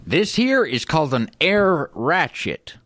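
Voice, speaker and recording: Man playing a blustering, animated old-west cowboy. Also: on the high side, takes it slowly, no room sound, studio quality, full character voice. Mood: boastful. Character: boastful, folksy old-west cowboy tool owner in a rivalry. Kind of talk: improvised comedy radio skit.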